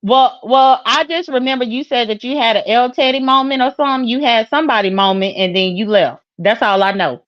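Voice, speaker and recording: A woman's voice: on the high side, fairly quick, few pauses. A woman from Mississippi with a Southern US accent, arguing casually and bluntly with plenty of swearing, as a guest on an online panel. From Mississippi, United States. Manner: blunt, profane, combative. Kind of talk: heated casual argument. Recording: dull lo-fi call audio.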